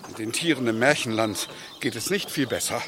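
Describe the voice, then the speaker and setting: An actor playing an eccentric, indignant animal-loving doctor in a children's radio play, theatrical and self-righteous. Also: male voice, medium pitch, lively intonation, a little fast, fairly bright tone, slight background noise, close to an everyday voice. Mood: self-righteous, pleading.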